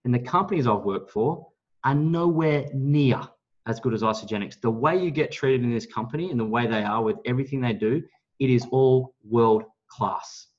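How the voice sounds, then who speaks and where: A man giving a motivational business pitch, speaking in an emphatic, blunt, salesy way with some mild swearing. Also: moderate pace, a little mellow. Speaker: network marketing leader, guest speaker on a team call. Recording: dull, lo-fi call audio.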